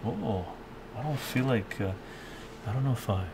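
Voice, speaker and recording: A man's voice: medium pitch, animated, moderate pace, vocal fry, background noise. Older man chatting while cooking. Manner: chatty, thinks aloud, reads chat and answers it. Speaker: cooking live streamer. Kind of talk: casual live-stream chat while cooking. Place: home kitchen, indoors. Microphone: fixed camera mic, moves around the kitchen.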